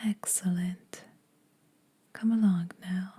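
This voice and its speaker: A woman voicing a teasing, predatory yet protective female vampire in a breathy whispered ASMR roleplay, speaking slowly and a little formally. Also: fairly low pitch, steady tone, warm tone, full character voice. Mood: dry teasing. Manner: slow, deliberate, faintly formal teasing.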